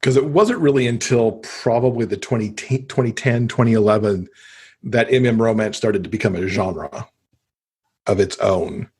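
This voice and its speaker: Low-voiced, slightly husky man chatting. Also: normal speed, darkish tone. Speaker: podcast guest, avid reader. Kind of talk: casual interview conversation about books.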